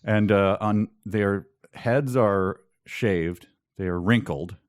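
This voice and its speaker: A man's voice: lowish voice, takes it slowly. A man co-hosting a podcast, casual and wry, giving mock-serious readings with joking asides. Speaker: podcast co-host. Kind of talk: casual comic book discussion.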